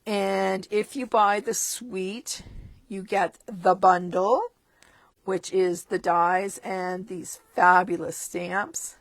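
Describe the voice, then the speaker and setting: A woman losing her voice talks through a stamping catalog at an unhurried, slow pace. The sound is dry and close with no room echo. She is chatty and drops chuckling asides. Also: medium pitch, gravelly. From Canada. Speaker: stamping demonstrator. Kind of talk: casual catalog walkthrough. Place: tabletop craft desk indoors.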